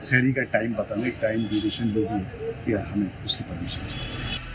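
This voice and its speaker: A man's voice: fairly low pitch, moderate pace. Man interviewed on a noisy street. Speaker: local resident interviewed. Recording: noisy street, vehicle sounds.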